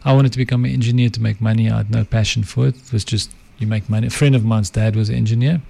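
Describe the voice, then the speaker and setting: A middle-aged South African man with an Afrikaans accent, a podcast guest talking calmly and reflectively about his life. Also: lowish voice, fast, gravelly, husky. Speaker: guest, advertising entrepreneur and author. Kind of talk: reflective interview talk.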